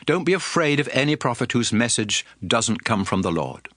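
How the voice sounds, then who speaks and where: An actor playing a solemn, commanding lawgiver in a dramatized audio Bible, speaking in an emphatic, oratorical way. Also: male voice, medium pitch, sing-song, moderate pace, fairly bright tone, no echo, clean studio sound. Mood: solemn instruction. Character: solemn, commanding male lawgiver addressing his people. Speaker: scripture narrator playing a prophet and lawgiver. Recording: music bed under the voice. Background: music.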